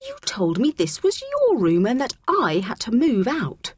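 A woman's voice: mid-range voice, wildly swooping pitch, normal speed, a little mellow, breathy edge, no room sound, pitched above the performer's own voice. A woman voicing a friendly, earnest ten-year-old schoolgirl in a children's audiobook. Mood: indignant.

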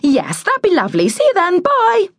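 A haughty, snappish grown woman from a children's storybook, as a woman narrator puts her on. Her pitch jumps high and swings widely, with a curt bossiness under false manners. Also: very animated, brisk, dry recording. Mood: falsely polite. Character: huge, snappish, snooty neighbour woman with false politeness.

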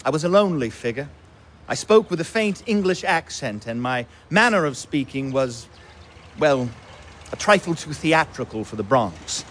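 A man's voice: on the high side, very animated, a bit bright, slight background noise. An actor playing a grown man fondly recalling his boyhood, narrating in a wry, literate way. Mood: wry, nostalgic. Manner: measured, wry, literate storytelling. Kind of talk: first-person scripted narration in audio drama.

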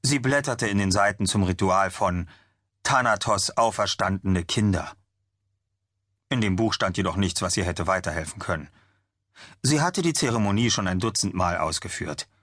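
A man with a very low voice narrates a fantasy audiobook. The recording is dry and close, with no room around the words. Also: lively intonation, moderate pace, fairly bright tone, no room sound.

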